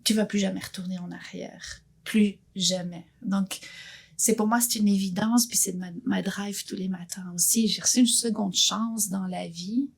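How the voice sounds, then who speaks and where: A woman's voice: medium pitch, fairly even intonation, normal speed, vocal fry, slightly rough. A woman, sharing her personal story as an interview guest in an earnest, thoughtful way with frequent restarts. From Belgium. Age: 50s. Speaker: guest entrepreneur.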